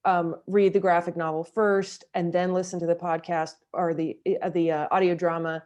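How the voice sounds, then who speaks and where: Woman with a lowish voice in an interview. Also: little pitch movement, normal speed, a little mellow. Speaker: audio drama director and actor being interviewed. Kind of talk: conversational interview.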